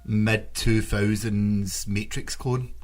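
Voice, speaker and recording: A man's voice: fairly low pitch, flat delivery, slow pace. A man chatting casually as a co-host on a group podcast.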